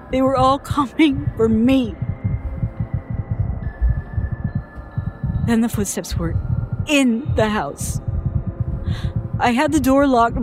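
An actress playing a frightened woman haltingly recounting a childhood horror in an audio horror drama, sounding haunted. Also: a little high, background noise. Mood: mounting dread. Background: music.

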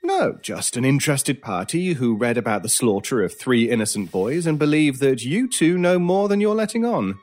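An actor playing a cool, teasing, mysterious British stranger in a horror radio drama, with a measured, theatrical and wry way of talking. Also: male voice, mid-range voice, sing-song, darkish tone, close to an everyday voice. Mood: knowing. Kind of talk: old time radio style horror audio drama.

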